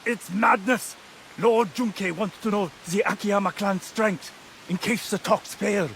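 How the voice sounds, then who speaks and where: An injured envoy in a game scene. The voice is high for a man and full of creak, weak yet urgent and anxious, with a faint rainy hiss behind it. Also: steady tone, measured pace, fairly bright tone, exaggerated voice. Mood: agitated. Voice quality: creaky, strained. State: weak, strained. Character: wounded, weakened male peace envoy, anxious and urgent. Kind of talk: video game cutscene acting.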